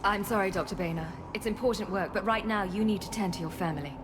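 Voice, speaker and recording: An actress playing a former research scientist leading refugees, speaking in a measured, earnest way. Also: female voice, medium pitch, background noise, close to an everyday voice. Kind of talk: video game voice acting.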